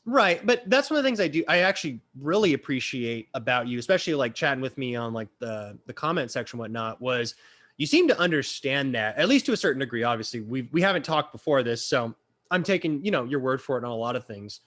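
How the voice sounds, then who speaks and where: A man's voice: medium pitch, very animated, fast, fairly bright tone. Frank, loose-talking man in his thirties. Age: 30s. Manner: loose, frank, swears freely. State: a bit sick. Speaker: interviewer, channel host. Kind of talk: casual chat.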